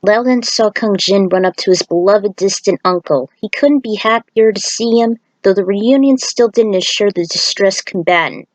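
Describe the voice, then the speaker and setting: A woman's voice: mid-range voice, moderate pace, few pauses, dry recording, low-quality recording. A woman reading scripted story narration as a storyteller, recorded close as a voice-over.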